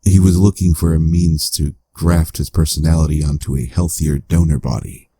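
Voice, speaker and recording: A man playing a calm, analytical AI companion in a cyberpunk audio drama, speaking in a measured, formal, clinical way. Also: very deep voice, breathy edge, dry recording, studio quality, heavily acted. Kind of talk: scripted audio drama narration.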